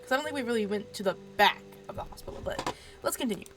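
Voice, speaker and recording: Woman, stuffed-up nose, casual gameplay chatter, rambling with fillers, quiet home voice, static-y mic. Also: on the high side, lively intonation, fast, over music. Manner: talks quietly so as not to wake others. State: stuffed up from allergies. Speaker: gaming video host. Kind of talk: casual let's-play commentary. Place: room at home at night.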